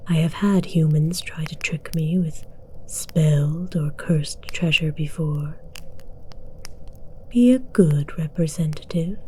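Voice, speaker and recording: Woman acting a slow, whispery, haughty dragon queen. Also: low-pitched, dark tone, a little hiss or hum. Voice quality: whispery, breathy. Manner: imperious, slow, deliberate, formal. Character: haughty, menacing, ancient female dragon queen. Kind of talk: ASMR roleplay.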